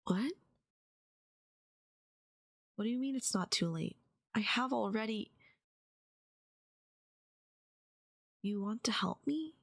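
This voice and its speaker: A woman playing a despairing, lovesick yandere kidnapper in an intimate audio roleplay, halting and pleading, often slipping into half-whispers. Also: medium pitch, expressive, gravelly, a bit bright, dry recording, studio quality, heavily acted. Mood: resigned, bewildered. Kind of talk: intimate ASMR-style dramatic audio roleplay.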